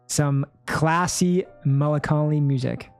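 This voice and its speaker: A man's voice: a little high, takes it slowly. A man streams a game and reacts casually aloud. He speaks in an informal, exclamatory way, with faint music behind him.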